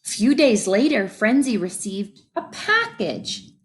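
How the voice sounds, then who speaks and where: Female children's storybook reader: expressive, animated intonation, slow deliberate pacing. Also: a little high. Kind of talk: expressive scripted children's narration.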